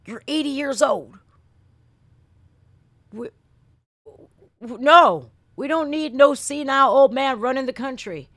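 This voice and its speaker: A woman's voice: sits high, slow, long pauses, fairly bright tone, no echo. An American woman hosting a livestream reaction, chatting and ranting casually in blunt, sweary, folksy slang. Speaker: reaction video host. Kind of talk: casual livestream rant and chat.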